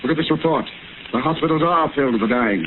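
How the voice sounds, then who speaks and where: An actor playing a hot-tempered, demanding city mayor with forceful, oratorical delivery in 1930s radio drama style. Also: male voice, fairly high pitch, fast, close to an everyday voice. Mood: angry, demanding. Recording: scratchy, noisy, tinny 1930s radio recording.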